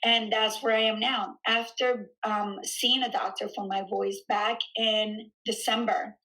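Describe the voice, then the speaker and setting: A woman in her thirties, a fitness studio founder, chatting in an interview and telling her story in an animated way with frequent fillers and laughs. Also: fairly high pitch, a little slow, rough recording. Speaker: fitness studio founder and trainer, podcast guest. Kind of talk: casual conversational interview.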